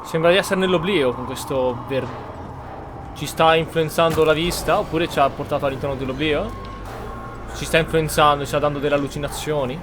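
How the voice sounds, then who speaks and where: Higher-voiced man doing game commentary. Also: quick pace. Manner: musing, speculating aloud. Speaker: game streamer. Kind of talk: casual let's-play commentary. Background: game music and sounds.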